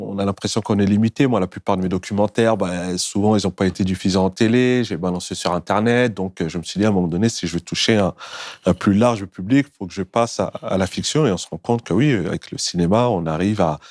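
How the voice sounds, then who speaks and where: A man, a French film director, chatting casually in an interview, emphatic and relaxed, often answering with quick affirmations. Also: low-pitched, dry recording, studio quality. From France.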